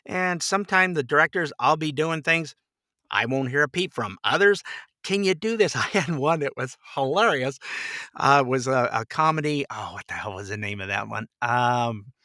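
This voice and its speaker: A man's voice: medium pitch, moderate pace, bright, forceful tone, dry recording, clean studio sound. A middle-aged or older man chatting casually as a podcast guest, a jovial, rambling storyteller who acts out bits of dialogue. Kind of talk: casual interview chat, anecdotes.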